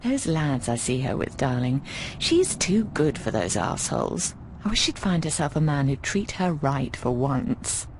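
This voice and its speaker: A breezy, bawdy landlady from an adventure game. Her voice sits a little low and swings freely up and down, teasing and affectionate with everyone. Some background noise sits faintly under the recording. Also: a little slow, exaggerated voice. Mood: protective, gossipy. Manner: expressive, teasing, calls people darling. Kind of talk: conversational video game voice acting.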